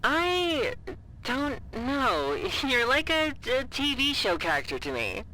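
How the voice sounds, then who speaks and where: Bright, chatty young-sounding communications officer. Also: female voice, high-pitched, wildly swooping pitch, slow pace, a little hiss or hum, heavily acted, poor audio. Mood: sheepish, eager. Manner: casual, hesitant, joking. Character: chatty, caring communications officer, eager and deferential fan. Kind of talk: naturalistic audio drama dialogue.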